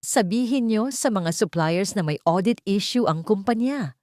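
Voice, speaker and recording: Brief: woman narrator as vengeful rich female executive, cutting and controlled, lively pitch movement, close dry audio-drama sound. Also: normal speed, dry recording, natural voice. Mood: commanding. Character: cold vengeful wealthy female boss, a betrayed wife.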